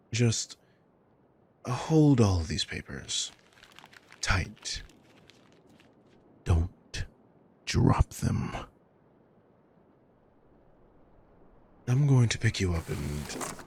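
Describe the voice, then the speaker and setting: A man playing a sadistic villain in an ASMR roleplay, coolly menacing and mockingly caring, speaking in a breathy, deliberate, taunting way. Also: deep voice, exaggerated voice. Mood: menacing, amused. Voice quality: breathy, husky. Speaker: audio roleplay voice actor.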